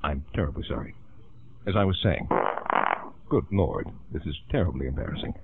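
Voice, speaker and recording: A man's voice: extremely low pitch, lively intonation, normal speed. A man playing an apologetic, formal male presenter with a pompous, easily flustered manner in a mock commercial. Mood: solemn, embarrassed. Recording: muffled lo-fi band-limited audio, faint noise.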